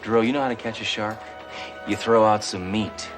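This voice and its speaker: A man playing a gruff deadpan tough cop. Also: fairly low pitch, fairly quick, over music, close to an everyday voice. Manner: gruff deadpan one-liners. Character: tough wisecracking male cop, cocky.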